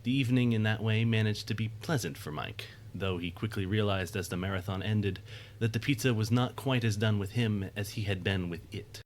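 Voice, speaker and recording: A man with a low voice narrates a scripted story. His delivery is measured and literary with a wry edge, over faint background noise.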